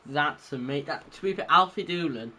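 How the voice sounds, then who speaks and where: A man's voice: on the high side, normal speed, sharp tone. Man with a cold hosting a stream. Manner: rambling, unsure, many restarts. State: has a cold, a bit congested. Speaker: stream host. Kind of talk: casual chat.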